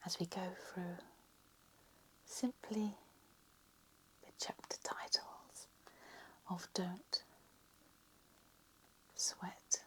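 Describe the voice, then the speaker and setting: Woman for a whispered ASMR lecture, low, flat, a little croaky, very slow. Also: low-quality recording. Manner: slow, soft, unhurried whisper. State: slightly croaky voice.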